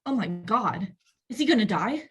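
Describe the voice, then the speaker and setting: Woman playing an anxious, husky-voiced distrustful wife. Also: mid-range voice, lively intonation, normal speed, fairly bright tone, faint music behind. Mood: frightened. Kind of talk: rehearsed table read acting.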